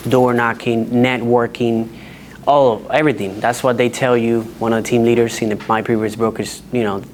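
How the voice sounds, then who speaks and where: A man from the Dominican Republic, a real estate agent speaking on a panel and telling his career story in an earnest, measured way. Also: mid-range voice, a little hiss or hum. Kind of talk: panel discussion.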